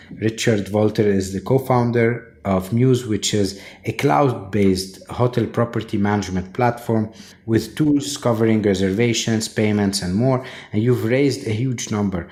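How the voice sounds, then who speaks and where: A man's voice: lowish voice, darkish tone. A man hosting a podcast interview, reading the guest's introduction and asking prompting questions. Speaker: podcast host. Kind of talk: interview host.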